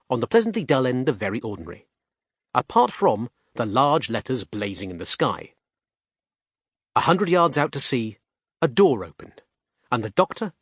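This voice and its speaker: Lively, wry male audiobook narrator. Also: medium pitch, no room sound, clean studio sound. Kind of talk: scripted narration.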